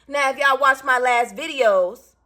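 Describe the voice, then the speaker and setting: A raspy-voiced woman in her thirties, a single parent venting bluntly and with swearing as she tells a story to her viewers. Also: high-pitched, measured pace, bright, forceful tone. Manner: blunt, profane, emphatic.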